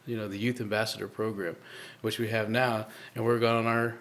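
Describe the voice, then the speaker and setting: A man guest in a live interview, speaking earnestly in halting phrases full of fillers and restarts. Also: on the low side, flat delivery, fairly bright tone.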